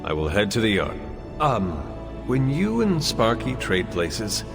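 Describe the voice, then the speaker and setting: Casting: grave old-world game warrior, low voice, formal and courteous, set in a reverberant hall. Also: male voice, huge pitch swings, measured pace, creaky, over music, full character voice. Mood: solemn, courteous. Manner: formal, archaic phrasing without contractions. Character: solemn, courteous ancient warrior hero. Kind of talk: video game cutscene acting.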